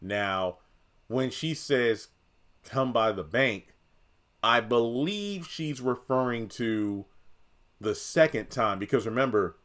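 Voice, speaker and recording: A man's voice: mid-range voice, expressive, slow, a bit bright. A man giving casual, conversational opinion commentary on a TV drama, thinking aloud and speaking straight to viewers. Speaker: video commentator.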